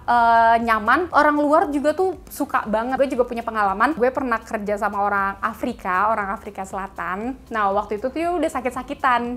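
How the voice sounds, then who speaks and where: A woman's voice: fairly high pitch, fairly bright tone. A young woman with a Jakarta Indonesian accent, chatting casually and in slang to viewers as she shares tips in a vlog. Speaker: vlogger and cruise ship crew member.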